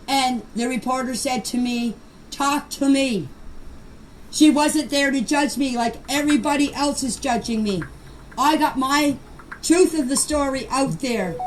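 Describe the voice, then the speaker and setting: An older woman giving an unscripted personal account in voice messages, speaking emphatically and defensively and repeating phrases to press her point. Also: high-pitched. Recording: noisy amateur voice-message clips.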